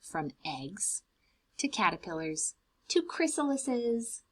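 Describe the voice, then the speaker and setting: A woman reading a children's storybook aloud in an expressive way, explaining things simply to young children. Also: fairly high pitch, slow pace, breathy edge. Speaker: read-aloud host for preschoolers.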